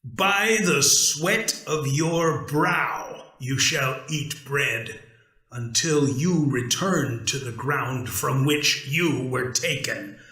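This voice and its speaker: A man's voice: mid-range voice, extremely slow, pushed and bright, echoey room, low-quality recording. A man voicing a stern, commanding God in a dramatic scripture reading, grave and emphatic as he judges. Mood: solemn judgment. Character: stern, commanding male God, solemnly judging.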